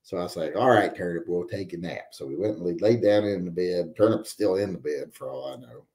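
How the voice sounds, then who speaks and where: A man's voice: deep voice, fairly quick, gravelly, darkish tone. Wry, rambling man in an echoey room. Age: 40s. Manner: rambling, wry joking asides. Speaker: podcast host reading viewer chat. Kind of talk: casual live-stream chat. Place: home room with guns on the wall.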